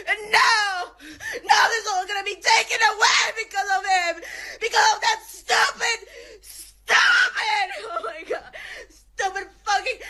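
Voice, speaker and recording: Young woman sobbing and shouting. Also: extremely high pitch. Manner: sobbing and shouting, breaking into laughs. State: crying. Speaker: social media poster. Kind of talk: tearful rant.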